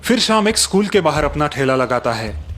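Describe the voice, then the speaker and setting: Man, storybook narrator, slightly high pitch, measured scripted reading, soft music behind. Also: very animated.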